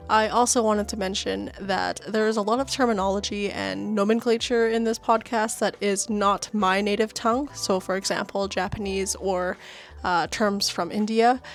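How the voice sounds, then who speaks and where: A woman's voice: mid-range voice, fairly even intonation, moderate pace, faint music behind. Chatty woman hosting a cozy craft podcast. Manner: soft, chatty storytelling with casual asides. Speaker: podcast host. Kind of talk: relaxed craft podcast narration.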